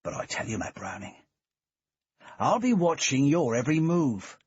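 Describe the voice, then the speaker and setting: Audiobook villain: husky, threatening man, voiced by the male narrator, slow and drawn out, in a muffled, lo-fi recording. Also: lively intonation, a little mellow, no room sound, heavily acted. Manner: low, threatening, drawn-out delivery. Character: menacing, suspicious man turning on his partner. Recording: dull lo-fi recording.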